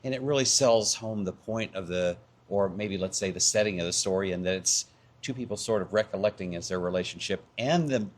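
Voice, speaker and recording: Lowish guy voice, theatre guy getting interviewed, chatting thoughtfully. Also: a little fast. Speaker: community theatre actor and narrator, interview guest. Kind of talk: thoughtful casual interview answers.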